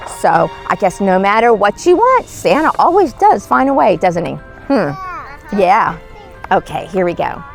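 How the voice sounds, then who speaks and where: A woman telling stories to young children in an expressive, coaxing, exclaiming read-aloud manner. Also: mid-range voice, normal speed, vocal fry, faint music behind. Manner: animated, exclaiming, coaxing, dramatic gasps. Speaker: costumed storyteller as Mrs. Claus.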